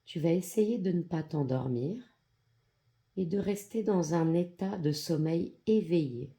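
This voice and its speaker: Slow, very mellow yoga nidra guide, gender-neutral voice. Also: medium pitch, creaky. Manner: calm, soothing, measured with long pauses. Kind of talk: guided meditation, soft instructional narration.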